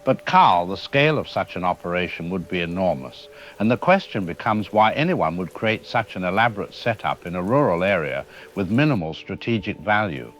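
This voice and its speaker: A man playing a cautious, troubled scientist in a scripted radio drama, explaining technical matters in measured, formal language. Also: sits low. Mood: cautious, uneasy. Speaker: expert guest. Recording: steady rain-like background noise.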